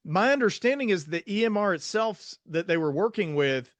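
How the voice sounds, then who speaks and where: A man's voice: high-pitched, animated, brisk, dry recording. A young man hosting an interview as a psychiatrist, telling stories and voicing his own past thoughts. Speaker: psychiatrist host. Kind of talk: casual interview talk.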